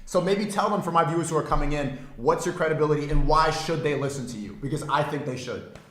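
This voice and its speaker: A man hosts a casual livestream and talks fast with a playful edge, egging on his guest. His voice sits a bit high for a man. The room has a noticeable echo. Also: a bit bright. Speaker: livestream host. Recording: echoey room.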